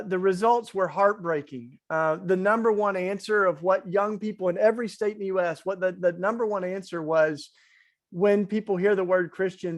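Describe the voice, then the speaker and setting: A man with a marked East Tennessee accent and a notably high voice. He talks in an earnest, reflective, conversational way as a webinar panelist. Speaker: webinar panelist, faith organizer. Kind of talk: online panel talk.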